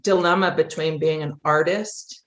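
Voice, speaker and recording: A woman's voice: low-pitched, fairly even intonation, normal speed. A middle-aged woman explaining things earnestly in a casual interview, wandering from one thought to the next. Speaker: theater writer and producer, interview guest.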